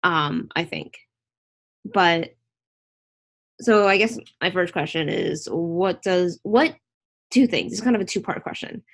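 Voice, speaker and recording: A woman's voice: on the low side, slow, gravelly, pauses often. A woman hosting a casual online interview, chatty and opinionated, rambling with restarts.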